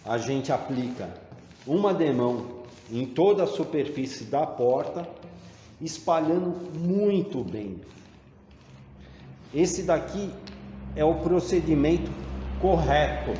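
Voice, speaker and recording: A man with a Brazilian accent giving a hands-on tutorial in a deliberate, step-by-step explanatory way. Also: fairly high pitch, lively intonation, warm tone. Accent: Brazilian Portuguese. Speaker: tradesman demonstrating door painting. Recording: dull, echoey, reverberant room with background noise.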